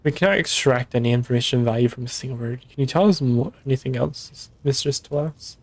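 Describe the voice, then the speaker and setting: A man with a tired, hoarse voice voicing a stern male ship captain, giving brisk, formal orders. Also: mid-range voice, dry recording, natural voice.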